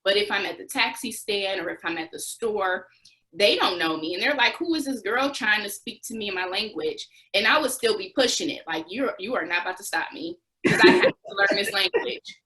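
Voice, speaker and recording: A woman's voice: mid-range voice, expressive, fairly quick, bright, forceful tone, rough recording. Young American female interview guest, mid-range voice, conversational storyteller who acts out quotes. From United States. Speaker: interview guest, former Peace Corps volunteer.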